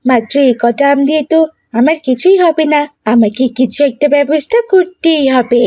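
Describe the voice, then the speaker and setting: A woman's voice: high voice, normal speed, a little mellow, dry recording, studio quality, close to an everyday voice. A woman voicing a mischievous, scheming young village girl in a cartoon, with a wheedling, bossy way of talking.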